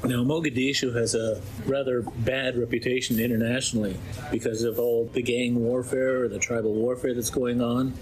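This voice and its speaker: A man's voice: medium pitch, level tone, normal speed, few pauses, darkish tone, low-quality recording. A man interviewing a guest, asking direct, probing questions.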